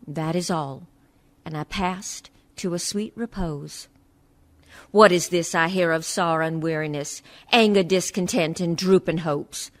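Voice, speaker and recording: A woman's voice: mid-range voice, a bit bright, close to an everyday voice. A woman playing a proud, hardy pioneer wife in her nineties, reciting a plainspoken, emphatic verse monologue for a radio play. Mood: scornful. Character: proud, hardy ninety-six-year-old pioneer wife, now dead.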